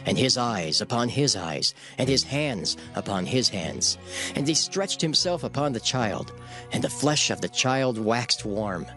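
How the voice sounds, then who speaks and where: A man narrating dramatized scripture in a measured, solemn storytelling manner. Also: medium pitch. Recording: faint music behind the voice.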